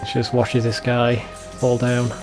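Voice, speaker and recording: A man's voice: mid-range voice, completely flat delivery, slow pace, over music. A man giving casual, sweary commentary over a video game in an informal, bemused way. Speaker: let's play video host.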